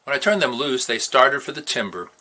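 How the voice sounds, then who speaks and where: A man reading a novel aloud as an expressive storyteller. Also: mid-range voice, brisk. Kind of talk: expressive read-aloud narration.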